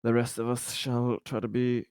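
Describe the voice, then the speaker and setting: A man's voice: medium pitch, monotone, normal speed, darkish tone, smooth, no room sound, clean studio sound. A man playing in a tabletop roleplaying game, speaking formally and hesitantly as a leader.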